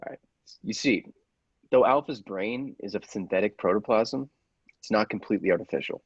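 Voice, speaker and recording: A man playing a grave, persuasive scientist's assistant in a radio play, deliberate and theatrical, with secretive zeal. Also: on the low side, slightly rough, no echo, muffled recording. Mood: businesslike, explanatory. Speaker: podcast actor.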